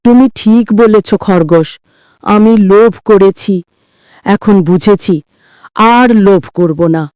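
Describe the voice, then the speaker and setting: Woman putting on a mellow-voiced greedy cartoon bear. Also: mid-range voice, slow, clear, resonant tone, dry recording, lightly acted. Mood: ashamed. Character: greedy, sly but simple cartoon bear.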